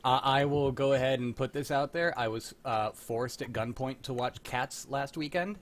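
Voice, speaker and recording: A man's voice: medium pitch, moderate pace, slight background noise. A man hosting a live stream of old radio script readings, chatting casually and joking, swearing now and then. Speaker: host of an old-time radio table-read stream. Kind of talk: casual chat.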